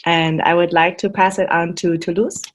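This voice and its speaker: A woman's voice: fairly low pitch, little pitch movement, normal speed. A middle-aged woman introducing herself as a dancer on a group video call in a friendly, casual way. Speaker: belly dancer and fire spinner.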